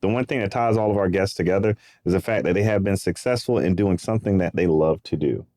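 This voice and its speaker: A man hosts a podcast and keeps the talk easy and upbeat. His voice sits fairly low. The sound is dry and close. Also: fast talker, dark tone, no echo. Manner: upbeat, chatty, loose Southern phrasing. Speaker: podcast host. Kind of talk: casual interview chat.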